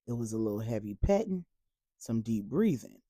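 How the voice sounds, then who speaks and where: A woman's voice: very deep voice, very animated, normal speed, mellow, no room sound, clean studio sound, voice pushed down, full character voice. A woman voicing a playful, evasive grown son who pleads casually with his mother in an audio story. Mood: playful, defensive.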